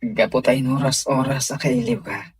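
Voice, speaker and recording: A man's voice: medium pitch, steady tone, normal speed, husky. An actor with a Filipino accent playing a devoted, tender young man in a radio drama. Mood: tender, earnest.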